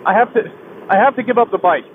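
A young man riding a motorcycle on a highway, talking through a helmet mic in a halting, heavy-hearted confession that keeps restarting. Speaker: motovlogger. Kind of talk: personal confession while riding. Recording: tinny helmet mic with wind and road noise.

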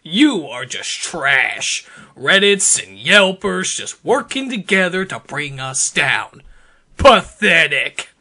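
A man giving an over-the-top dramatic reading, theatrical, emphatic and deliberate. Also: a little high, big pitch swings, pushed and bright. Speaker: performer reading social media posts aloud.

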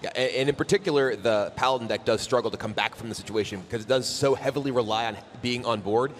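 A man's voice: mid-range voice, fast talker, a little hiss or hum. A man giving analytical live commentary as an esports commentator.

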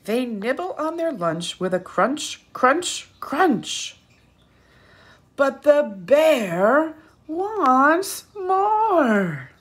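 A woman reading a picture book aloud expressively for young children, with pauses, asides and questions to her listeners. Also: high-pitched, very animated. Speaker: children's story reader and teacher.

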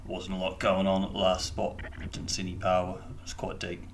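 New Zealand man, deep flat voice, casual vlog commentary, faint noise behind. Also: a little fast, creaky. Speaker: vlog host and diver. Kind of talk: casual voice-over commentary on diving footage.

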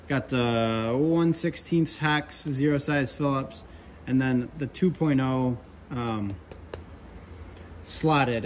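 A young man, an HVAC controls tech, chatting casually through his tool bag at a workbench, relaxed with lots of ums and asides. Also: medium pitch, a little hiss or hum. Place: workshop with pegboard and workbench.

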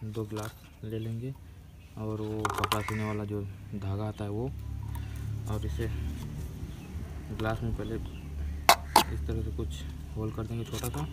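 A man talks casually through a simple hands-on demonstration. His voice is fairly low and stays very even in pitch. It is recorded outdoors on a phone, with very loud ambient noise and crows cawing. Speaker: host of a DIY experiment video. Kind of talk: casual hands-on tutorial talk. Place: open concrete rooftop outdoors.

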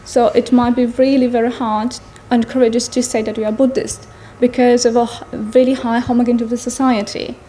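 A woman in her thirties with a Polish accent, giving an earnest personal talk to an audience in a large tent, pausing to search for words. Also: fairly high pitch, level tone, mellow, slight background noise. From Poland. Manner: earnest, searching for words, non-native phrasing. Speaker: speaker at a Buddhist gathering. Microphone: stand mics in front of her.

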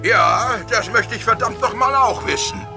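An actor playing a jaded, revelling aristocratic courtier in a German audio drama, flippant and drawling. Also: male voice, sits high, moderate pace. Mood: indignant. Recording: very loud music behind.

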